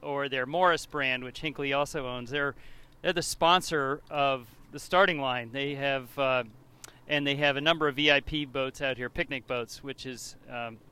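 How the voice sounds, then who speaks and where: A man co-commentating a sailing race from a boat, speaking in a measured, informative way with frequent pauses. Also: medium pitch, sharp tone, smooth.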